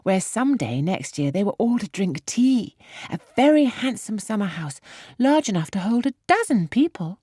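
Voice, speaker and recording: A woman's voice: medium pitch, big pitch swings, moderate pace, dark tone, slightly rough, no echo, clean studio sound, voice pushed up. A woman voicing a naive, chatty young Englishwoman in an audiobook, breathless and eager in her delight.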